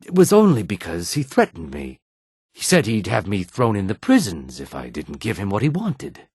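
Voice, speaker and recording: A man playing a courteous, weary and dignified reptilian merchant in a fantasy video game, speaking formally in a throaty rasp. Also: lowish voice, huge pitch swings, darkish tone, no room sound, clean studio sound, exaggerated voice. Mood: polite. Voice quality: raspy, husky, throaty. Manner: polite, formal, measured. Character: courteous male Argonian lizard-folk merchant, weary and dignified.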